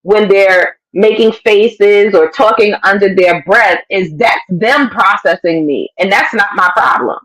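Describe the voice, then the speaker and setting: A woman podcast co-host with a raspy voice, talking in an expressive, emphatic way in casual chat. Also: medium pitch, measured pace, hardly any pauses, a little hiss or hum, poor audio.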